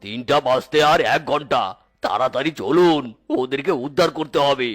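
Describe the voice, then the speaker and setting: A man's voice: mid-range voice, moderate pace, fairly bright tone, faint music behind, natural voice. A man voicing a distressed cartoon husband and father who pleads earnestly. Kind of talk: cartoon voice acting.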